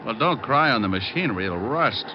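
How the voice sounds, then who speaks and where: An actor playing a brash, wisecracking private detective with an American accent, breezy and flippant in 1940s radio style. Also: male voice, mid-range voice, expressive, a little fast, noisy background, close to an everyday voice. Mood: sardonic. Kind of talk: 1940s radio acting, hard-boiled first-person narration. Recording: dull old radio recording with music.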